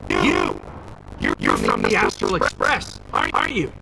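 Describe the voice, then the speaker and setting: A man voice-acting a gruff, scornful townsman in a video game, blustering and disapproving of outsiders. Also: high-pitched, wildly swooping pitch, normal speed, heavy background noise, heavily acted. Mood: indignant. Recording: music behind the voice.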